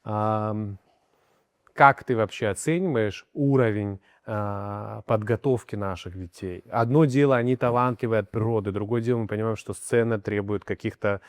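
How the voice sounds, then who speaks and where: A man's voice: on the low side, normal speed, no room sound, clean studio sound. A man with an Abkhaz accent hosting an interview, asking long friendly questions. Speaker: interview host.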